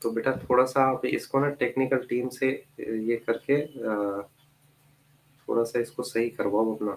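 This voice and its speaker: A male teacher giving an online class with a slight cold that makes him a bit hoarse. He speaks patiently, calls his students "beta" and repeats key terms. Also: mid-range voice, fairly even intonation, measured pace, low-quality recording.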